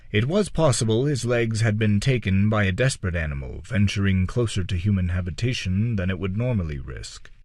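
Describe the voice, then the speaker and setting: A man with a low voice narrates a dark fantasy audiobook. His intonation moves widely and dramatically, with deliberate pauses between phrases. The recording is dry and close, with hardly any room sound. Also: no echo. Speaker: audiobook-style reader.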